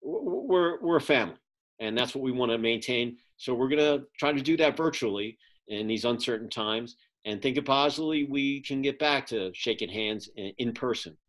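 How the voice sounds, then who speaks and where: A man's voice: mid-range voice, moderate pace, a bit bright. A middle-aged man, a magazine publisher, giving a casual update over a video call from a home office, rambling with many fillers. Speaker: magazine publisher and event producer. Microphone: video call webcam.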